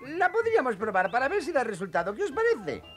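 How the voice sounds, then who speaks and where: A man voicing an excitable, eager little old gnome sidekick in a cartoon. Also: very high voice, fast, heavily acted. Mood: earnest. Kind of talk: animated cartoon dubbing. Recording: music behind the voice.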